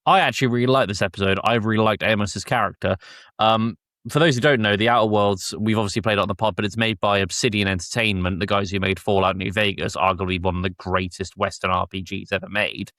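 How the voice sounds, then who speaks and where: Low-voiced male podcast host. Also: steady tone, fast talker, a bit bright, no room sound, studio quality. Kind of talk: episode recap and casual discussion.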